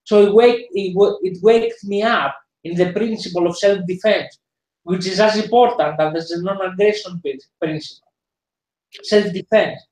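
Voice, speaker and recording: A man from Greece speaks accented English as an interview guest. His voice sits high, and he hesitates often, circling back with fillers and repeats. The sound has a roomy echo. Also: moderate pace, a little mellow. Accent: Greek. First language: Greek. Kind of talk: casual interview conversation.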